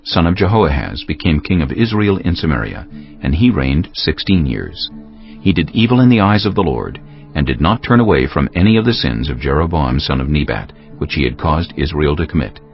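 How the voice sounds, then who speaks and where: Extremely deep-voiced male scripture narrator. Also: big pitch swings, moderate pace. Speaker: audiobook narrator. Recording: dull audio with music behind.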